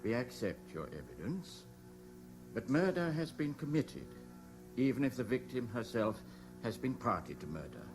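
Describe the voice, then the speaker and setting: An actor with a British accent playing a stern examining magistrate, formal and grave, in a radio drama. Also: male voice, medium pitch, very animated, normal speed, over music, rough recording. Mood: solemn, judicial. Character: stern, measured examining magistrate passing judgement. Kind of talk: BBC radio drama acting.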